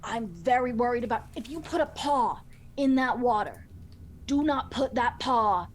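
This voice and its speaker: A woman's voice: a little high, expressive, moderate pace, a little hiss or hum, natural voice. A woman voicing a bossy, worried, mothering mouse who fusses and repeats her warnings in a tabletop game. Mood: worried, scolding. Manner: fussy warnings, repeats herself.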